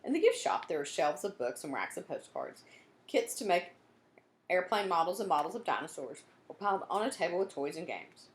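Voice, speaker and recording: Animated woman reading a children's story. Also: fairly low pitch, brisk, echoey room. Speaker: storybook reader. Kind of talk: expressive children's read-aloud narration.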